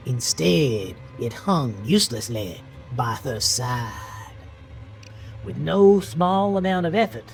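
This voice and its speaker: A man narrating a scripted horror story in a dramatic, deliberate, suspenseful manner. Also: medium pitch, a little mellow, rough recording. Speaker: audiobook-style narrator. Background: music under the voice.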